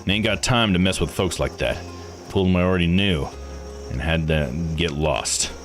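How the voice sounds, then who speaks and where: A man voicing a gruff, folksy old island boss in a visual novel, blunt and dismissive. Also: deep voice, normal speed, fairly bright tone, soft background music. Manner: blunt, slangy, domineering. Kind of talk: visual novel read-aloud voice acting.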